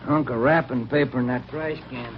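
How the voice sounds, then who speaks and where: An actor playing a weary detective partner who grumbles and cracks dry jokes in a 1950s radio drama. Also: male voice, mid-range voice, steady tone, normal speed, background noise, natural voice. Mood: grumbling. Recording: muffled vintage radio broadcast.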